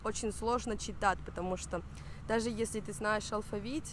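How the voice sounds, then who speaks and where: A woman's voice: mid-range voice, fairly even intonation, normal speed, background noise. Young woman speaking learned Russian. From Spain. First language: Spanish and Italian. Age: young adult. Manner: hesitant, searching for words with fillers. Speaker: guest. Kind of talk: casual interview in learned Russian.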